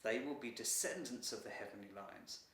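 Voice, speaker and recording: A man's voice: mid-range voice, normal speed, fairly bright tone, lightly acted. A man voicing a loyal guardian lion in a storybook, speaking formally and with deference. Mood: solemn, deferential. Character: loyal, dutiful male guardian lion servant of emperor. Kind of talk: storybook character dialogue. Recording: roomy echo, distant mic.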